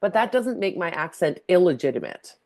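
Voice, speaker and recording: A woman from Canada with a low voice co-hosts a podcast as its language teacher. She talks in a loose, chatty way and laughs easily. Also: very animated, a little fast, a little mellow. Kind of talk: casual chat.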